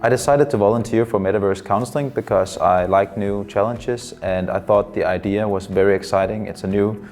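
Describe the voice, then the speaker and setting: Deep-voiced Danish man in his twenties. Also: steady tone, darkish tone, faint music behind. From Denmark. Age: 20s. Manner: thoughtful, pausing often with fillers. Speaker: psychology student and counselor. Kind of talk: interview answers.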